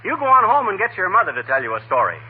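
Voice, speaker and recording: A man's voice: high voice, wildly swooping pitch, racing, breathy edge, exaggerated voice. A man playing a blustering, easily flustered middle-aged teller of tall tales in a folksy 1940s radio comedy. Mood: boastful, flustered. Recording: tinny, faintly noisy 1940s radio recording.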